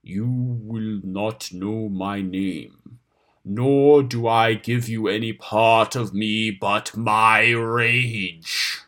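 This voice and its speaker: A man voicing a looming thunder creature in a storybook, quiet, deep and menacing, full of cold rage. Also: steady tone. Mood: cold menace. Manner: very slow, menacing.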